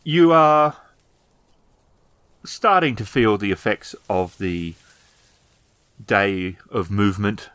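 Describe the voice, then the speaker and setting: Low-voiced man narrating a tabletop game. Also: slow pace, pauses often, a bit bright, clean tone, no echo. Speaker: game master, storyteller. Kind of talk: descriptive tabletop roleplay narration.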